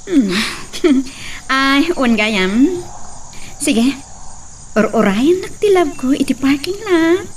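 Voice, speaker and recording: A woman's voice: sits high, animated, slow, long pauses, slight background noise. An amateur actress playing a smug young woman who taunts her rival and drops English words into her talk. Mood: taunting. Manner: taunting, mixing in English, laughing. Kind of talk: amateur drama acting.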